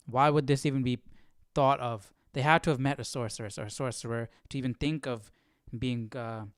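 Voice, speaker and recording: Male study-stream host, informal and questioning, medium-pitched voice, dry close-miked sound. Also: steady tone, a little fast, clear, resonant tone, dry recording. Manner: puzzled, questioning, informal slangy talk. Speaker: livestream Bible study host. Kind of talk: casual spontaneous commentary while reading.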